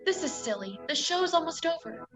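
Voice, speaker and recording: A woman's voice: very high voice, lively intonation, moderate pace, music in the background. A woman playing a fretful, reluctant young female companion, flustered and doubting, in a radio play. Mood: anxious.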